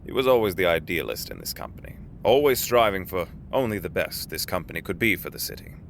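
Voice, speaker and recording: An actor playing a courteous middle-aged executive, avuncular and formal, with genteel, deliberate phrasing in an audio drama. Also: male voice, low-pitched, very animated, gravelly, a little hiss or hum, exaggerated voice. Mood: warm, pleased.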